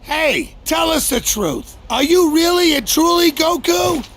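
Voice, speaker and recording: A man's voice: extremely high pitch, expressive, measured pace, fairly bright tone, slight background noise, full character voice. An actor voicing a small, skittish male talking-pig sidekick in an anime game. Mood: suspicious, amazed. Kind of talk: English anime game voice acting.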